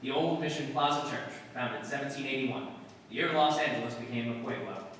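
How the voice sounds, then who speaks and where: An actor playing a dry, laconic police detective sergeant in a radio drama, with clipped, deadpan delivery. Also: male voice, medium pitch, a little fast, lightly acted. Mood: matter-of-fact narrating. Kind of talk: 1950s radio police drama acting, scripted narration. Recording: distant, echoey hall with long reverb, hiss.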